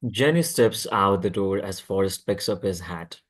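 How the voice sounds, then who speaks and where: Male stage-direction reader, lower register, halting table-read delivery with repeated words. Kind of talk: scripted narration at a table read.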